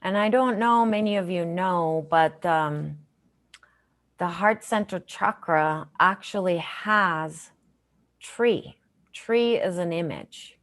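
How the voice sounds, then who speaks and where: A woman teaching a guided meditation in a gentle, measured, instructional manner. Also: on the low side. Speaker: meditation teacher, session host.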